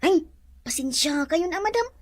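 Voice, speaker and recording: A woman's voice: very high voice, expressive, moderate pace, no echo, studio quality. A woman with an Ilocano accent playing a cheeky, chatty, gossipy employee in a radio drama. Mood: nosy, pleading.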